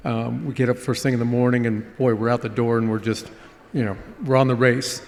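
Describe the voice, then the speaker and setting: Older man, folksy panelist, relaxed with pauses, mid pitch, long reverb. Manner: easygoing, folksy, with many "uh" pauses. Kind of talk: conference panel discussion. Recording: long reverb of a conference hall.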